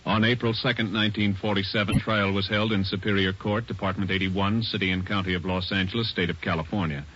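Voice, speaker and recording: A man's voice: low voice, fairly even intonation, quick pace, a bit bright, soft background music. A man reading scripted narration in a formal, matter-of-fact 1940s radio style. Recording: muffled old radio recording.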